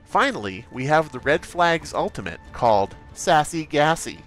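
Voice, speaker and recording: A man's voice: on the high side, big pitch swings, normal speed, a bit bright, soft background music, voice pushed up. A man playing an earnest, creepily awkward game developer who hesitates sheepishly through a parody update presentation.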